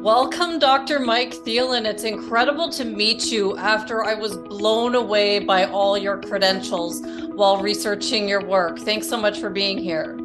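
A woman hosts a podcast with an enthusiastic, polished delivery. She reads her introduction smoothly, then moves into questions for her guest in a voice of middling pitch. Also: steady tone, a little slow, over music. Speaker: podcast host.

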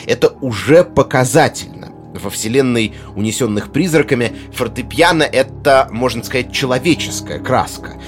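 A man giving an explanatory talk on film music, articulate, with deliberate pauses and emphatic phrasing. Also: medium pitch. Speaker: music lecturer, presenter. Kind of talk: explanatory video essay narration on film music.

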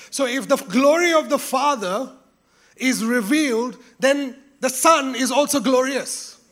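A man preaching a sermon with emphatic repetition, rising to exclamations and dropping to hushed, intense tones. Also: very high-pitched. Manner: emphatic, repeats phrases, drops to hushed tones.

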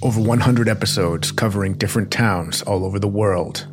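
A man's voice: on the low side, fairly quick. A man reading a scripted podcast advertisement as an announcer. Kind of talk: scripted ad read. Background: loud music.

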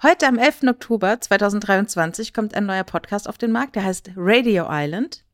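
A woman's voice: medium pitch, animated, normal speed. A woman co-hosting a podcast in casual chat, explaining things in a chatty, lightly ironic way. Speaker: podcast co-host.

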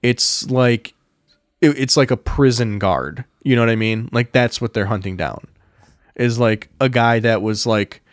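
A man's voice: medium pitch, a little slow, no room sound, studio quality. A young man co-hosting a podcast, talking casually in a rambling way with lots of fillers and laughing often. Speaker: podcast co-host.

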